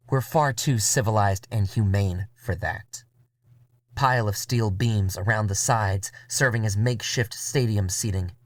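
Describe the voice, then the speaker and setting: A man narrating a first-person noir audio drama in a wry, cynical voice with drawn-out phrasing. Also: on the low side, dry recording. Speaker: narrator and writer. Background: music.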